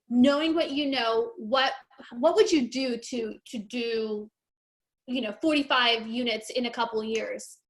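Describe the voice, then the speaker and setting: A woman's voice: a little high, fairly bright tone. A woman hosting an interview, chatty and enthusiastic, peppering her talk with "like". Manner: enthusiastic, chatty, says like a lot. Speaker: interview host. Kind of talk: casual interview.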